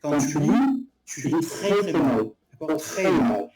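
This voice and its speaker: A man's voice: fairly high pitch, lively intonation, takes it slowly. Blunt critical man, far and echoey. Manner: blunt, critical, hesitant restarts. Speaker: guest in a live debate. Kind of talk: live stream debate. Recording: echoey room, far from mic, loud crowd noise.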